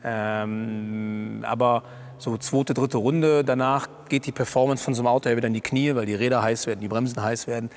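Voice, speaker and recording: A man in his thirties, a racing driver, explaining his lap calmly in a seated interview with many fillers. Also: mid-range voice, normal speed. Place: garage by a race track, indoors. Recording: reverberant garage.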